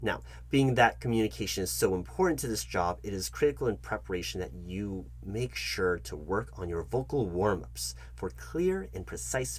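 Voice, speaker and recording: A man with a fairly low, husky voice plays a job interviewer in a comedic roleplay. His pitch moves around in a very animated way. He talks directly to the listener, fussy and halting, often repeating his instructions. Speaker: ASMR channel host acting as job interviewer.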